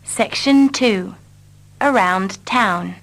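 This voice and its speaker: A woman repeating short English dialogue lines slowly and carefully for learners. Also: mid-range voice, a bit bright, rough recording. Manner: carefully repeats each line. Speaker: English teacher. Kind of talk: slow scripted reading for learners.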